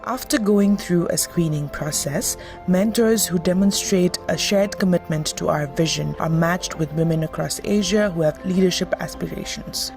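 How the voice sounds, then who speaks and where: Promo video narrator, gravelly voice, measured read, music playing under it. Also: medium pitch, a little mellow. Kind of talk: scripted narration. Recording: voice-over with music bed.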